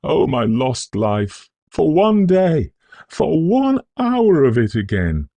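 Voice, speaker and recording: A man's voice: a little high, huge pitch swings, mellow, no echo, pitched above the performer's own voice, heavily acted. Man putting on a wailing ghostly old miser woman. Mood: anguished, lamenting. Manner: moaning, drawn-out wailing. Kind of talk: storybook character acting.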